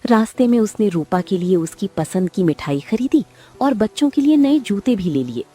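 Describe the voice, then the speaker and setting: A woman narrating a cartoon story in a steady storytelling manner. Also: mid-range voice, moderate pace, breathy edge.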